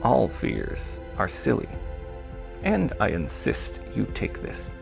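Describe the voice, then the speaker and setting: A kindly old shopkeeper played by a man reading an audiobook, very gravelly and slow. He speaks with gentle, old-fashioned courtesy, his pitch rising and falling like a born storyteller's. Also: wildly swooping pitch, over music, exaggerated voice. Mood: kindly, reassuring. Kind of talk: audiobook character voice.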